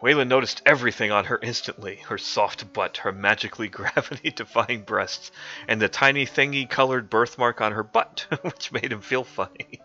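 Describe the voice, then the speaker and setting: A man's voice: medium pitch, normal speed, sharp tone. A young man streaming a mock-dramatic reading of a comic fanfic, often cracking up with laughter. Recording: dull lo-fi stream audio with faint music.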